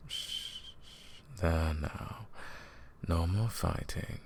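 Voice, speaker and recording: Guy doing an evil smug royal advisor for fantasy roleplay audio, creaky and unhurried, silky, talks down to you. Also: low voice, a little hiss or hum, full character voice. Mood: softly soothing. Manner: silky, condescending, theatrical, drawn-out menacing phrasing. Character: smug scheming royal advisor and sorcerer, usurping the throne. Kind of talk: fantasy audio roleplay, intimate villain monologue.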